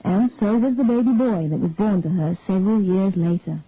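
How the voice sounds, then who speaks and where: A woman narrating a detective short story in a measured storytelling way. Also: mid-range voice, husky, no echo. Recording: narrow phone-like dry sound.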